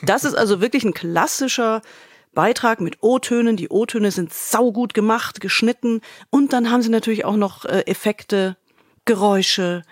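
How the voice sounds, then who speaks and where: A woman's voice: medium pitch, moderate pace, no room sound, clean studio sound. A woman chatting spontaneously as a podcast co-host, in an emphatic, opinionated, colloquial way. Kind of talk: spontaneous conversational review.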